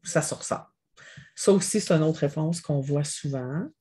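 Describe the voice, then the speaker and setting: A woman with a Québécois accent, a family business mediator explaining as an interview guest in a measured, reflective way. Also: low-pitched, mellow, slightly rough. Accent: Québécois French. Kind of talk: interview answers.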